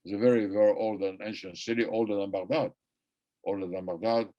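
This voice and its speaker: Older man, native Persian speaker, lecturing, lowish voice, dull lo-fi sound, repeats phrases for emphasis. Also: steady tone, brisk, mellow. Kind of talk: academic lecture. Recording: muffled lo-fi recording.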